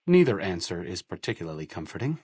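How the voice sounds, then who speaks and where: A wry nerdy idealist doctor from a post-apocalyptic game, articulate, very expressive pitch, dry studio sound. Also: male voice, fast, slightly rough, dry recording, exaggerated voice. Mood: guarded, curious. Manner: sardonic, articulate, dryly witty. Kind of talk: video game companion dialogue.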